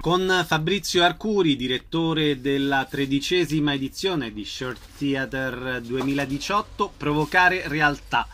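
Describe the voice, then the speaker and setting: Bright-voiced male radio interviewer. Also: on the high side, unhurried, few pauses, soft background music. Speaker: radio host interviewing guests.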